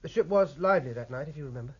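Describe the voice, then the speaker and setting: A man playing a thoughtful, deferential young British naval lieutenant in a radio drama, measured and understated. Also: on the high side, expressive, slightly rough, highs cut off. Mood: deferential, guarded.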